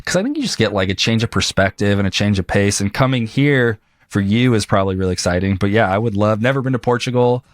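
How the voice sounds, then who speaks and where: Male host, lowish voice, rambling and halting, dry close-miked sound. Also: expressive, dry recording. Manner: rambling, halting with fillers and restarts. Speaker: podcast host. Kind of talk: casual interview chat.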